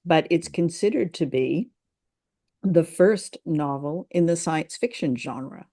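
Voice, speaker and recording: A woman co-hosting a podcast, explaining things in casual discussion and thinking aloud in a halting way. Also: sits low, expressive, warm tone. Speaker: podcast co-host.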